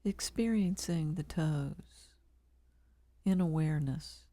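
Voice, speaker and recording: Slow, mellow woman guiding meditation. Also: low-pitched, no room sound. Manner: calm, measured, with long pauses between short phrases. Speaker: meditation guide. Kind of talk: guided body scan meditation.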